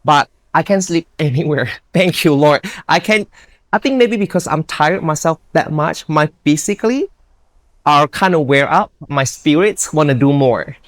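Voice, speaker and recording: A woman's voice: sits low, moderate pace, no room sound. Upbeat Indonesian-accented woman, dry sound. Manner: upbeat, earnest, non-native grammar. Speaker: guest, bodybuilder. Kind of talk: casual interview chat.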